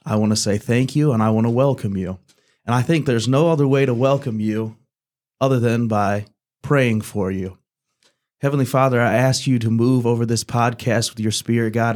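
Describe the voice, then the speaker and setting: A man's voice: medium pitch, fairly even intonation, moderate pace, a little mellow. A man in his twenties hosting a church podcast, speaking earnestly and praying aloud. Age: 20s. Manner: earnest, repeats "God" between phrases. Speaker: podcast host. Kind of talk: casual church podcast chat with opening prayer.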